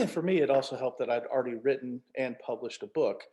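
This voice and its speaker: A man's voice: mid-range voice, a little fast, dark tone, low-quality recording. A man talking casually as an author, telling anecdotes and acting out bits of quoted dialogue. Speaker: author, co-writer of a novel. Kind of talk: casual panel conversation.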